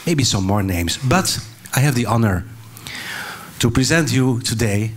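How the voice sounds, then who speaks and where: A man's voice: medium pitch, animated, measured pace. Older man, stage moderator, earnest, handheld mic. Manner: earnest, restarts and fillers. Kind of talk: onstage introduction and interview. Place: stage of a large hall.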